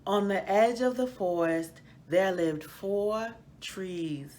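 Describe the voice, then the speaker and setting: A woman reading a children's picture book aloud as a storyteller, in a deliberate, expressive manner. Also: mid-range voice. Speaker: read-aloud host, storyteller.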